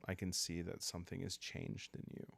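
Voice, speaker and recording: A man voicing a worried, protective, sickly young woman in a gentle, pleading way for a roleplaying story. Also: deep voice, monotone, moderate pace, creaky, full character voice.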